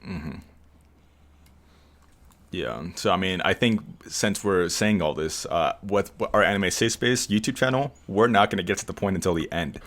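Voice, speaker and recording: A man hosting a casual podcast chat, who edits the show, rambling along and describing his own voice as monotone. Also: deep voice, moderate pace. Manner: relaxed, rambling, says he has a monotone voice. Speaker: podcast host and editor.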